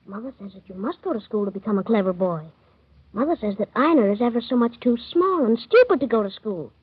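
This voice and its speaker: A chirpy little schoolboy. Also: female voice, fairly high pitch, expressive, a little fast, husky, no echo. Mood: earnest. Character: earnest small schoolboy, trusting and eager. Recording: tinny 1930s radio broadcast.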